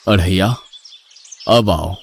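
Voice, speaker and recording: A man playing a patient, gently exasperated god in an animated mythological story, instructing in a calm, indulgent way. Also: low voice, slow pace, vocal fry, exaggerated voice. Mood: patient, firm.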